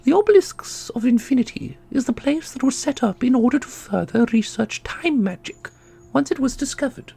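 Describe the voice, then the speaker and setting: A man voicing a solemn, knowing keeper of an ancient magical order in a fantasy audio roleplay, speaking in a formal, measured way. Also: extremely high pitch, lively intonation, vocal fry, mellow, slightly rough, full character voice. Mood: grave. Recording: music under the voice.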